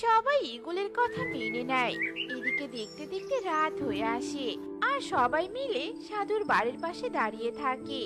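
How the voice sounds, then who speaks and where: A woman narrating a children's cartoon story, telling it in a lively storybook way. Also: sits high, moderate pace, over loud music.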